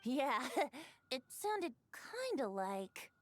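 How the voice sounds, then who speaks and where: A woman's voice: high-pitched, sing-song, very slow, full character voice. A woman voicing a carefree, boyish bard in a video game, lilting and theatrical with a teasing, airy pride. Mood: amused. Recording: music behind the voice.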